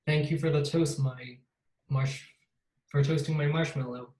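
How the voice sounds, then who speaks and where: A man reading a children's picture book aloud in an even, slightly halting way. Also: on the high side, reverberant. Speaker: read-aloud reader.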